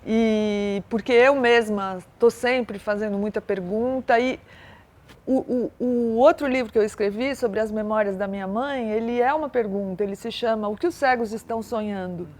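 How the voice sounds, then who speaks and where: A woman's voice: a little high, a little mellow. Thoughtful older Brazilian woman writer. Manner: thoughtful, measured, unhurried. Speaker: writer guest. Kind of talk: reflective interview answer.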